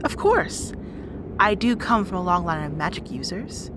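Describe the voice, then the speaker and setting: A woman's voice: on the low side, big pitch swings, normal speed, background noise. A woman playing a bossy, guarded young rat princess in an audio roleplay drama, with exasperated, wry asides. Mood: bargaining, wry.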